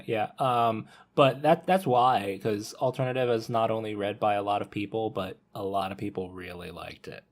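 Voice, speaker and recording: A man's voice: on the low side, moderate pace, hardly any pauses. A man speaking as a panelist on a casual podcast, talking things through conversationally.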